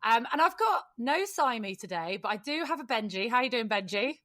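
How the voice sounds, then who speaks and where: Woman, British accent, higher-pitched, dry sound, effusive jokey podcast host. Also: sing-song, fairly quick, pushed and bright, no room sound. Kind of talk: casual, bantering podcast chat.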